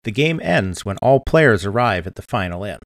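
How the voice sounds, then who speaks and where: A man giving scripted instructional narration in a clear, methodical, step-by-step manner, as a tutorial presenter. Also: fairly low pitch, animated, moderate pace, dry recording, clean studio sound. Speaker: board game tutorial presenter.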